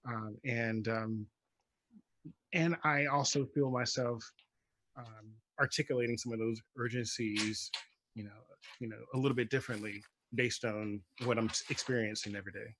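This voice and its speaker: A man talking reflectively as a playwright on a panel, articulate but halting as he works through his thoughts. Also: medium pitch, fairly even intonation, a bit bright, a little hiss or hum, poor audio.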